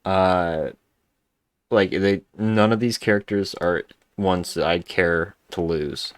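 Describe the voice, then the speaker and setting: A man's voice: low-pitched, takes it slowly, smooth, no room sound. A man giving casual, laid-back, slangy commentary as he plays a video game. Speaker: gamer, video host.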